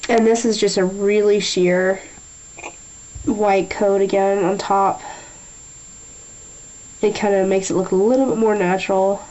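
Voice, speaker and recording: A young woman talking through a nail tutorial in a chatty way, a little nasal from a sinus infection, often clearing her throat. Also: mid-range voice, fairly even intonation, unhurried, pauses often, darkish tone, poor audio. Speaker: beauty vlogger. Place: small room at a table.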